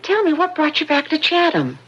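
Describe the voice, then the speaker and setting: A fussing housewife in her fifties in a radio drama. Her voice is fairly high and very animated, exclamatory and easily unsettled. The recording is dull and muffled. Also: fairly quick. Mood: warm, curious. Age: 50s.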